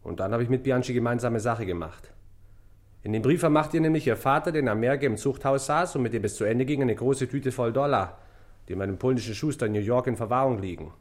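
An actor playing a cornered, cynical crook who gives in with grudging, terse resignation, in an old German radio crime drama. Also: male voice, mid-range voice, expressive, quick pace, lightly acted. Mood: resigned confession.

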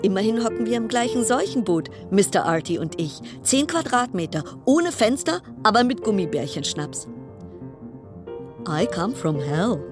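A woman's voice: mid-range voice, sing-song, normal speed, music in the background, close to an everyday voice. An actress in a radio drama playing a wry, anxious cruise passenger stranded at sea, telling her story in literary, broken-off sentences. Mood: confiding, uneasy. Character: weary woman passenger stranded on plague-stricken cruise ship, wry, anxious.

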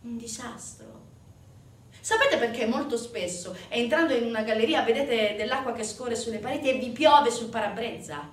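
Actress as an indignant woman stage narrator, highish voice, sardonic, emphatic, theatrical monologue, roomy distant recording. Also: normal speed, close to an everyday voice. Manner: rhetorical questions to the audience, sarcastic, emphatic. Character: indignant, sardonic woman storyteller denouncing organized crime. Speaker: stage actress in a solo show.

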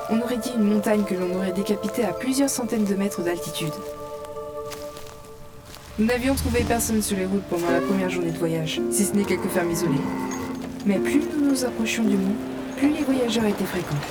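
A woman playing a curious adventurer telling her own fantasy tale as scripted audio fiction narration. Her pitch is medium, and loud music runs beneath her voice. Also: lightly acted. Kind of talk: scripted first-person narration.

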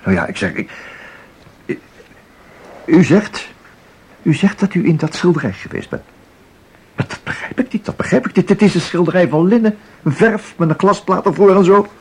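A man's voice: high voice, lively intonation, normal speed, pauses often, a little mellow, breathy edge, full character voice. An actor playing a timid, polite, flustered man in a radio drama, hesitating and stammering as he repeats himself. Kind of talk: Dutch radio drama acting.

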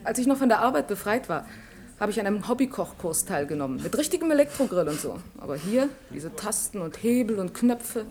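An actress playing a wry, chatty married office clerk in a German science-fiction radio drama. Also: female voice, medium pitch, very animated, brisk, a little mellow. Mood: flustered, wry. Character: Mary, married dispatch clerk, mildly flustered and wry.

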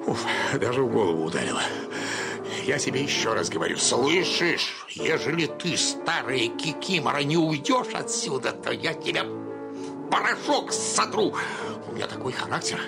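A man's voice: mid-range voice, sing-song, moderate pace, gravelly. An actor playing an irritable, overworked male bank clerk in a radio play, hectoring and theatrical, at breaking point. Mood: furious, desperate. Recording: music behind the voice.

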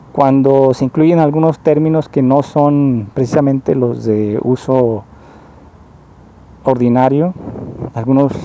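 A man speaking a monologue, slow and halting, often stopping to rephrase as he explains himself earnestly. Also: medium pitch, warm tone, a little hiss or hum, poor audio. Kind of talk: spoken monologue, personal complaint.

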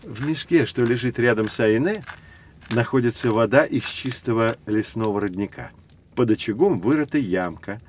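A man's voice: medium pitch, expressive, moderate pace, darkish tone, slight background noise, natural voice. An actor playing a knowledgeable male professor who explains prehistory to children in a scripted educational audio drama. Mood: patient, explanatory. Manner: explanatory, lecturing. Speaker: educational guide.

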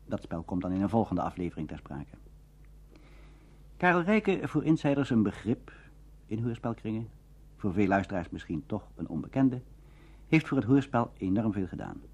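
Low, lively, clear-voiced male documentary narrator. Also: normal speed, long pauses. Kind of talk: scripted narration of a radio history documentary.

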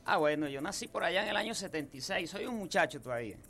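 A man's voice: fairly high pitch, lively intonation, quick pace, pushed and bright, soft background music. Folksy llanero-accented Venezuelan man. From Apure, Venezuela. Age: 30s. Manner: folksy, homespun. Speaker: guest singer-songwriter. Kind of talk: relaxed storytelling interview. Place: radio studio booth.

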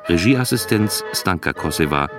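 A man's voice: sits low, lively intonation, normal speed. A man announcing the title and credits of a radio drama in a scripted read. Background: music.

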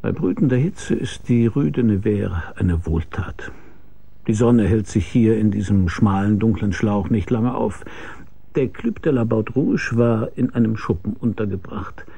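Gravelly, mellow private detective. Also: male voice, on the low side, unhurried, full character voice. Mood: wry narration. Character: wry hard-boiled male private detective. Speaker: lead actor and narrator. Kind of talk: radio crime drama, first-person narration.

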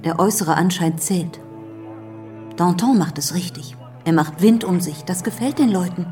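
An actress plays a possessive, reproachful sister in a radio drama. She speaks insistently in a fairly low woman's voice, a little away from the microphone, with music faintly behind her. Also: moderate pace, darkish tone, recorded from a distance, close to an everyday voice. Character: stern, possessive, reproachful sister of a revolutionary leader. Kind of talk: radio play dialogue acting.

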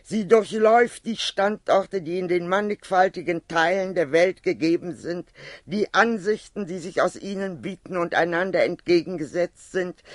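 Man, slightly high-pitched, formal lecturer, reading prepared text, precise emphatic phrasing, dry sound. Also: fairly bright tone, no echo.

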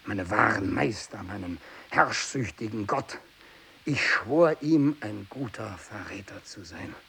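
An actor playing a tormented, fanatical renegade missionary who worships evil, in a feverish radio drama monologue from the 1960s. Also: male voice, lively intonation, takes it slowly, creaky, breathy edge, heavily acted. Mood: hushed, bitter fervour. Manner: feverish, swinging from hushed whispering to shrill ecstatic cries. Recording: hissy 1960s radio recording.

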